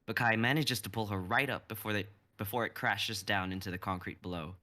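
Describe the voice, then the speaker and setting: Deep, bright-toned young man narrating. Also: a little fast. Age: 20s. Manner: steady descriptive narration. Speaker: narrator and reader. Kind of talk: dramatic script reading.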